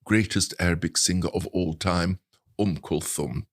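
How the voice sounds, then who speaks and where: An older man of about seventy with an English accent, telling anecdotes in a reflective, articulate and measured way as an interview guest. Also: low voice. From England. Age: older, around 70. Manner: articulate, measured storytelling with precise wording. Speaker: interview guest, translator and writer.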